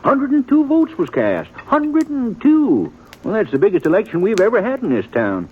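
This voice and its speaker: Folksy American cafe gossip, wildly animated, digressive, touchy, radio western, muffled vintage recording. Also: male voice, high-pitched, moderate pace, a little hiss or hum. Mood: chatty, eager. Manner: rambling, digressive, easily offended. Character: gossipy, long-winded small-town Western cafe owner, folksy and touchy. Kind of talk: 1950s radio western acting.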